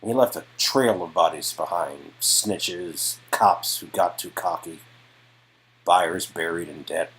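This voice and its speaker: A man playing a weary, guarded detective with a low, raspy voice, halting and brooding as he confides in someone. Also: fairly bright tone, heavily acted, poor audio. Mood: grave, intense.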